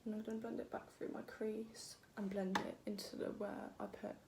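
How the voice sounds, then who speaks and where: Young woman, croaky voice, chatty, self-deprecating, echoey home room, faint background noise. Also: mid-range voice, flat delivery, fairly quick. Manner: chatty, self-deprecating, talks while working. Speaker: beauty vlogger. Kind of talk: casual makeup tutorial.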